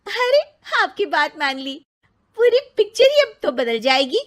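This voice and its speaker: A wide-eyed, curious relative in a cartoon moral tale, voiced by a woman. The voice is very high and the words come slowly, with an easily amazed manner. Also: very animated. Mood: surprised. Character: wide-eyed, astonished family member in a moral story. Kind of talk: animated moral story voice acting.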